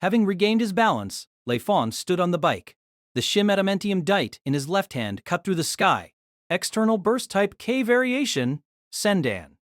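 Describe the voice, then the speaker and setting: Animated higher-pitched man narrating a story. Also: moderate pace, dry recording, studio quality. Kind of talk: dramatic scripted story narration.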